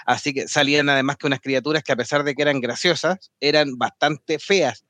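A man co-hosting a casual anime podcast, chatty and quick to laugh, often restarting his sentences. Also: medium pitch, fairly even intonation, bright, forceful tone, no echo. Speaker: podcast co-host. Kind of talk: casual anime talk with co-hosts.

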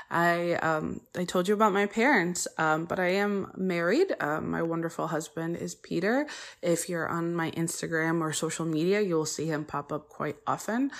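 Woman host, earnest, rambling solo intro, low-ish voice. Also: a bit bright. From United States. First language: Polish. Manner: earnest, chatty, frequent ums and side-tracks. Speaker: podcast host.

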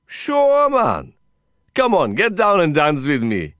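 A man voicing an exuberant, foul-mouthed, friendly Indian man with an Indian accent and excitable, slangy, cajoling speech in an audiobook. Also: sits high, moderate pace, dry recording, voice pushed up.

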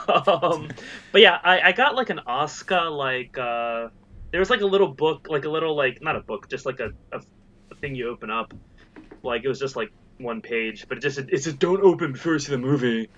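A man's voice: mid-range voice, lively intonation, moderate pace, a bit bright, dull audio. A man chatting casually as a podcast guest, rambling with lots of fillers and laughs. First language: not Japanese. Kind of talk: casual chat. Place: in Japan.

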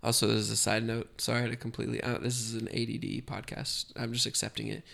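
A man's voice: medium pitch, little pitch movement, fast, gravelly, a bit bright. A young man co-hosting a casual podcast, rambling and joking while congested from being sick. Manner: rambling, jokey, self-deprecating. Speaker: podcast co-host. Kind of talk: casual chat.

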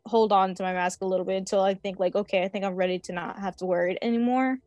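A woman's voice: medium pitch, fairly even intonation, fast, darkish tone, dry recording, lo-fi sound. A young woman chatting casually as a podcast guest, rambling with lots of "like" and "um" and laughing often. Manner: chatty, many fillers and laughs. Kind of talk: casual chat.

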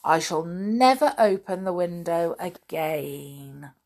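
A woman's voice: lowish voice, slow, a little mellow. A woman voicing a young male kitten who speaks earnestly and politely.

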